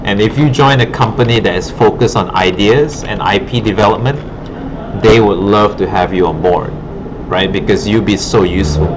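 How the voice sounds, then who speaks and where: A man with a low voice gives informal career advice in a rambling, encouraging way, often checking that he is followed. The recording is muffled, with obvious noise behind him in a classroom. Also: moderate pace. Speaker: art instructor and former art director. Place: classroom at an art academy. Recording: muffled audio with clear background noise.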